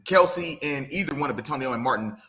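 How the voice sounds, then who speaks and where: A man co-hosting a casual sports podcast, animated and opinionated, often saying "you know what I'm saying.". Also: mid-range voice, fast talker.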